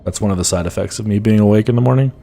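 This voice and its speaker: A man streaming a game speedrun, chatting casually in a low, groggy voice that sounds annoyed even when he is not. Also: very fast, creaky. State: groggy, just woke up. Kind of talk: casual live-stream commentary.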